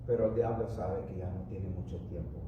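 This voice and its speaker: A man's voice: low voice, fairly even intonation, very echoey, far from the mic, highs cut off, noisy background. A man preaching a sermon, a native English speaker who halts and restarts often as he speaks. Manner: rambling, halting with many restarts and fillers. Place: church.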